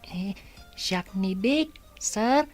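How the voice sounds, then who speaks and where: A woman's voice: medium pitch, lively intonation, very slow, soft background music. A woman voicing a polite, cheeky and eager little village boy in a radio drama. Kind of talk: Ilocano radio drama acting.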